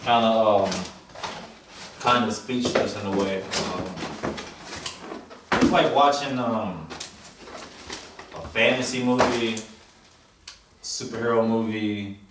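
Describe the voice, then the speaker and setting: Male co-reviewer, lowish voice, loose and profane casual talk, off-mic in an echoey room. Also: takes it slowly, pauses often. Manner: blunt, loose, slangy, profane. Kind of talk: casual chat. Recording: echoey, distant mic with background noise.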